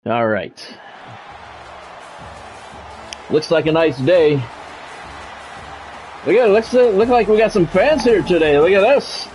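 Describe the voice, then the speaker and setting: A man's voice: high-pitched, big pitch swings, moderate pace, a little mellow, faint music behind. A man streaming a sports video game, mixing casual chat to viewers with play-by-play commentary and repeating himself as he goes.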